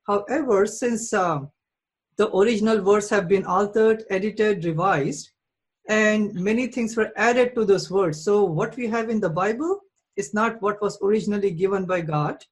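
A man hosting a conversation, speaking in a measured way and explaining ideas with simple examples. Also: high voice, husky, poor audio. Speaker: host, Islamic outreach. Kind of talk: calm explanatory interview.

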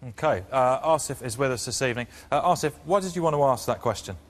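A man hosting a studio debate, steering the talk briskly between guests. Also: medium pitch, lively intonation. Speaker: debate show host.

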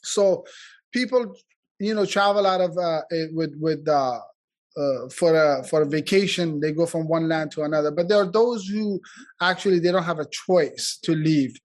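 Earnest halting man, slightly high voice. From Afghanistan. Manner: halting, many restarts and fillers, earnest. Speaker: community speaker. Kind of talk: unscripted speech at a community event.

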